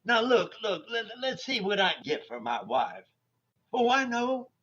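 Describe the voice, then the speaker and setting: Conceited flirty southern bandleader, high husky voice, jive talk, radio comedy. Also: male voice, very animated, unhurried, a bit bright, full character voice. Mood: cocky. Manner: jive-talking, cocky. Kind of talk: 1940s radio comedy acting. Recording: dull online radio-play recording.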